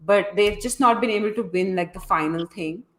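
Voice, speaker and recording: A woman's voice: mid-range voice, fairly quick, roomy sound, background noise. A woman casually commentating and analysing a live football match, chatty and opinionated, feeling her way through her thoughts. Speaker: host of a football watchalong.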